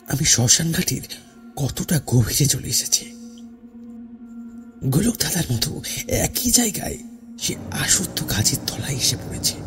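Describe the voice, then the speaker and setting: A man narrating a first-person horror story in a theatrical, suspenseful way, with dramatic pauses and hushed phrase endings. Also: high voice. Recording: voice over background music. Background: music.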